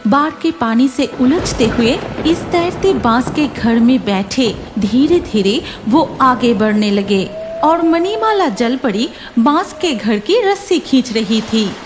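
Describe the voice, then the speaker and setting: A woman's voice: fairly high pitch, animated, slow, noisy background. A woman delivering scripted narration for a children's cartoon story.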